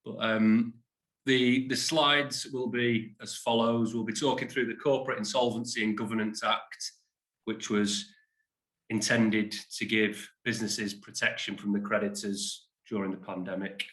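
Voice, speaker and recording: Flat-voiced man presenting in an echoey room. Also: on the low side, moderate pace. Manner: self-deprecating, hesitant with fillers. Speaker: insolvency practitioner presenting a webinar. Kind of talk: presenting a business webinar.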